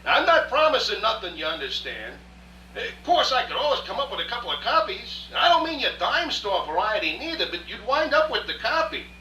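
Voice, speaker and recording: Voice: medium pitch, big pitch swings, brisk, sharp tone. An actor playing a wisecracking, streetwise genie with a New York accent and slangy, chummy patter in a live radio drama. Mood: brash, chummy. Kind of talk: stage radio drama acting. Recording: roomy far-off rehearsal recording, faint hiss.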